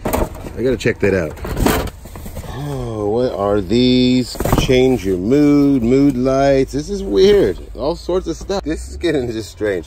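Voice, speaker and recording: A man in his forties, chatty and excited, talking to viewers while unboxing outdoors beside storage units. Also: medium pitch. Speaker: storage auction reseller. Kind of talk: casual unboxing vlog. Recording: outdoor noise behind voice.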